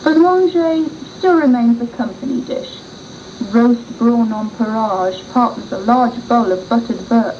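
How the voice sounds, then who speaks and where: A woman's voice: fairly high pitch, measured pace, a little mellow. A woman reading a scripted first-person historical story for radio in a formal, literary manner. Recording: muffled 1980s radio tape with hiss.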